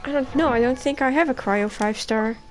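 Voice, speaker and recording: A woman's voice: high voice, fairly quick, slight background noise. A young woman giving casual live gameplay commentary in short fragments as she streams. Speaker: game streamer.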